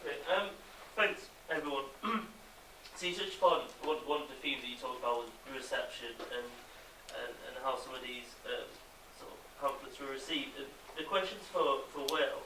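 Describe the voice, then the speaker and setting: A man speaking from the audience at an academic conference, putting an informal question in a tentative, halting way. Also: mid-range voice. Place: conference room, indoors. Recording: noisy, echoey room, distant mic.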